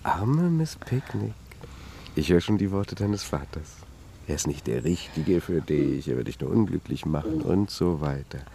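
Brash flirtatious charmer, animated voice. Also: male voice, low-pitched, moderate pace, creaky, slight background noise, full character voice. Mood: intimate, ardent. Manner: teasing, insistent, theatrical seductive delivery. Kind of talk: German radio drama acting. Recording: music behind the voice.

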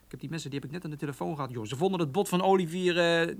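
A man's voice: a little high, rapid-fire, gravelly. Breezy young male office telephonist. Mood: teasing, amused. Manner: chuckling, breezy, familiar. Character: chatty, cheeky young male office switchboard operator. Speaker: actor in a radio play. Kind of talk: naturalistic Dutch radio drama acting.

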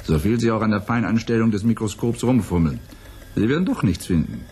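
Cynical boozy male scientist, low-pitched and very animated, sardonic 1980s radio play delivery. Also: brisk. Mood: mocking. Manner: sardonic, theatrical. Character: cynical, hard-drinking male civilian biologist. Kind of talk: 1980s German radio drama acting.

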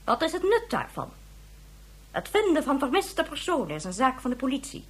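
An actress in a Dutch radio drama playing a stern, reproachful government leader, formal, cutting and authoritative, with a slightly husky voice. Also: female voice, high-pitched, wildly swooping pitch, normal speed. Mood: stern, skeptical.

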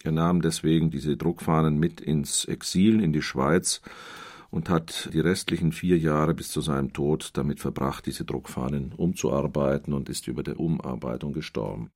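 Male literary scholar, very low register, measured explanatory delivery in long sentences. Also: fairly even intonation, a little mellow. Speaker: literary scholar, expert commentator.

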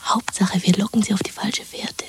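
An actress playing a tender, dreamy young woman lover in a 1970s German radio drama, speaking in an intimate, coaxing, hushed whisper. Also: medium pitch, fairly even intonation, normal speed, lightly acted. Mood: eager, dreaming. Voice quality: breathy, whispering.

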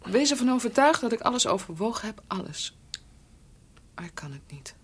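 A woman's voice: on the high side, big pitch swings, moderate pace. Earnest young woman, noisy recording. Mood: anguished, apologetic. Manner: earnest, emotional, often breathy. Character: gentle young woman, devoted niece of a doctor. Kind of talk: Dutch radio drama acting.

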